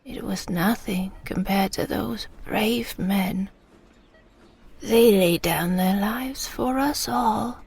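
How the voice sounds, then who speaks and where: A woman playing a frail, wistful elderly British mother remembering her wartime childhood in a hushed, breathy audio drama monologue. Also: medium pitch, exaggerated voice. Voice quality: breathy, whispery. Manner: slow, hushed reminiscing.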